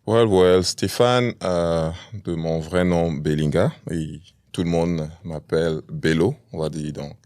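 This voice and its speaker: A man's voice: deep voice, slow pace, hardly any pauses, a little mellow, dry recording, clean studio sound. A man in his thirties from Cameroon, a podcast guest speaking casually with many hesitant fillers. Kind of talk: casual interview.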